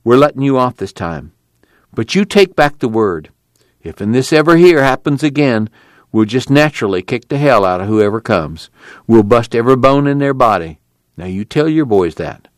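A man's voice: medium pitch, lively intonation, moderate pace, dry recording, studio quality, pitched above the performer's own voice, natural voice. A man voicing a tough, blunt camp guard with a firm drawl. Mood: stern.

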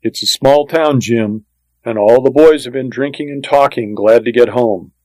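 A man's voice: medium pitch, normal speed, a little mellow, no echo, natural voice, low-quality recording. A man voicing a gunslinger's eager, gossipy sidekick in a western audiobook, talking in a folksy, informal way. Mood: eager, boastful.

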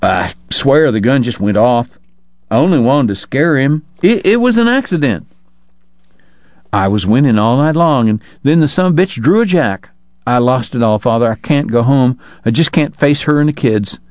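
A man's voice: medium pitch, big pitch swings, moderate pace, a little mellow, no echo, lo-fi sound, close to an everyday voice. A man voicing an anguished, guilt-ridden frontier man at confession in an audiobook, agitated. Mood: anguished, guilty. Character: anguished frontier men confessing their sins.

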